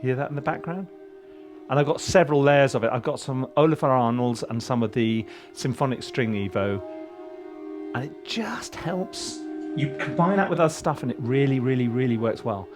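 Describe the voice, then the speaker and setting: A middle-aged man talks through his work in a loose, rambling way, full of ums and restarts. His pitch moves a lot as he talks, and music plays faintly underneath. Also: lively intonation, normal speed. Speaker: composer teaching film scoring. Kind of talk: casual screen-share walkthrough. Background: music from his session playing faintly.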